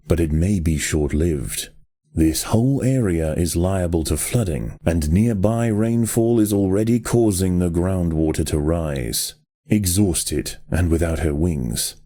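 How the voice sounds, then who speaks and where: Deep, mellow male documentary narrator. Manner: measured, solemn, dramatic delivery. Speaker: documentary-style game narrator. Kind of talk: scripted nature documentary narration.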